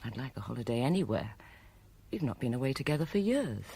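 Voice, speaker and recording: An actress playing a middle-aged British detective's wife in a radio drama, affectionate, wry and protectively firm. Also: female voice, extremely low pitch, sing-song, quick pace, warm tone, husky, exaggerated voice. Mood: fondly teasing.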